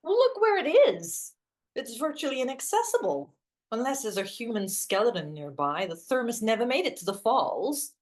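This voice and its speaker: Actress playing awkward young romantic woman, very lively pitch. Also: normal speed. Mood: incredulous. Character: awkward, literal-minded young woman, goofy hopeless romantic. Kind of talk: two-hander stage play reading.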